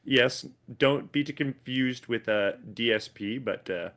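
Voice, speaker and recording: A man's voice: mid-range voice, measured pace, fairly bright tone. A man co-hosting a podcast in casual chat, laughing easily, restarting sentences and swearing casually. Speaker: podcast co-host.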